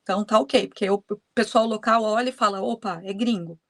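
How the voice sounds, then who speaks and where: Woman guest, mid pitch, dry close sound, explains things slow and patient. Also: fairly bright tone, dry recording. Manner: explaining patiently, thinking aloud. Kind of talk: explanatory conversation.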